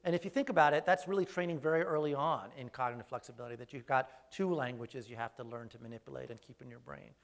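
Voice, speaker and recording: A man's voice: mid-range voice, quick pace, gravelly, hardly any pauses. A man who grew up speaking Greek first, a researcher answering audience questions after a talk in a thoughtful, hesitant way with frequent restarts. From raised speaking Greek first. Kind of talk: live Q&A after a lecture.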